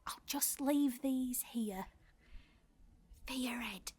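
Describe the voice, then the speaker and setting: A woman's voice: a little high, slow, darkish tone, slight background noise. A British woman playing a chatty, motherly, apologetic hotel housekeeper who rambles and fusses deferentially in an audio drama. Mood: apologetic, helpful.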